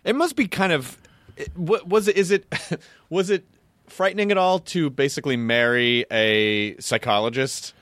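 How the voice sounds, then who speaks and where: A man's voice: a little high, normal speed, fairly bright tone, no room sound. A man hosting a casual interview, thinking aloud as he circles toward his questions. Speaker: podcast host, interviewer.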